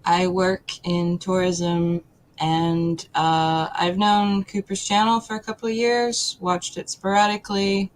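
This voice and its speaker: A woman, answering casually and haltingly as a talk show guest. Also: on the low side, flat delivery, a little hiss or hum, poor audio. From Poland. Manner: hesitant, halting.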